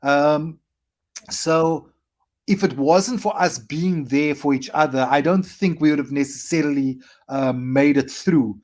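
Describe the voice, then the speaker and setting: A South African man in his forties, a comedian telling his story in a casual interview and acting out his past self in quick asides. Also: a little high, darkish tone. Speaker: comedian guest.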